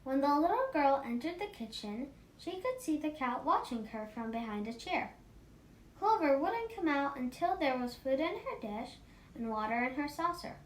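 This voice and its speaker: A woman reading a children's story aloud in an expressive, animated manner. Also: normal speed. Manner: animated, acts out dialogue. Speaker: storybook reader for a children's book club. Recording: roomy echo, faint rumbling noise.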